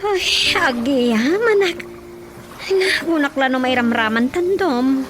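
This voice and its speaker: A woman's voice: high-pitched, expressive, measured pace. An actress playing a chatty, observant village woman in a conversational radio comedy, with an Ilocano accent and music behind. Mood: concerned. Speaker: drama actor.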